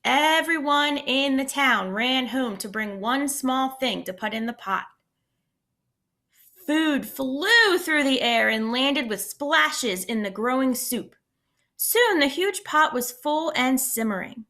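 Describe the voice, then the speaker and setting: Animated high woman reading a storybook aloud. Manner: animated, pausing to comment to children. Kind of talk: expressive picture-book read-aloud. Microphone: screencast computer mic.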